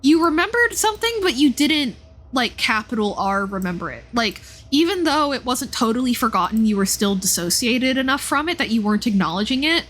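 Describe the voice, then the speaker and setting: A woman's voice: a little high, fairly quick. A woman livestreaming a game, thinking aloud in a casual, reflective way and often interrupting herself. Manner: animated, reflective, self-interrupting. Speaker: live streamer playing and commenting on a game.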